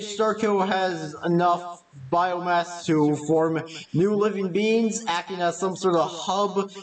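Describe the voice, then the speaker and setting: A man's voice: sits high, recorded from a distance, rough recording. A man reading scripted narration for a video essay.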